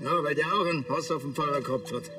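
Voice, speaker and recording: A male actor plays a blunt village cop with a Bavarian accent. His voice is on the high side and sardonic, a little distant, with music behind it. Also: quick pace, natural voice. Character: gruff, blunt village policeman. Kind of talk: naturalistic TV crime comedy acting.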